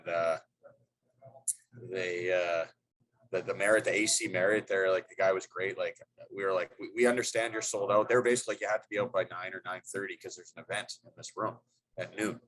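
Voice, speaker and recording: A man's voice: low-pitched, steady tone, fairly quick, fairly bright tone, low-quality recording. A middle-aged man, a hockey head coach, answering press questions in a loose, rambling way with many fillers and restarts.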